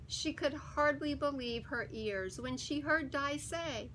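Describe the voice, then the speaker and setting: High, bright-voiced woman reading slowly to kids. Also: slight background noise, low-quality recording. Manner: deliberate, animated, emphatic. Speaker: story time reader. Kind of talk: expressive picture-book storytelling for children.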